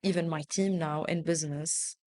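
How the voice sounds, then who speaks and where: Low-voiced woman, earnest and thoughtful. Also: steady tone, normal speed, darkish tone. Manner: earnest, repeats words while thinking. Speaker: guest, country manager. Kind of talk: conversational interview.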